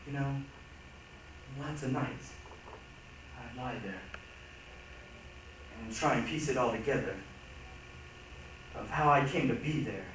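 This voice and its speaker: A man acting in reader's theatre as a resentful ex-convict confronting his old schoolteacher, deliberate and pointed in manner. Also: mid-range voice, lightly acted. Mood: brooding, menacing. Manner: deliberate, pointed, simmering with grievance. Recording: echoey hall from far off, noisy.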